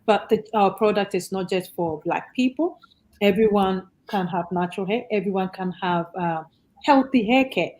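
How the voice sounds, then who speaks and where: Ghanaian woman speaking in halting phrases. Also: mid-range voice, a little mellow, a little hiss or hum. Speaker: panelist, entrepreneur. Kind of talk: conversational self-introduction at an online panel.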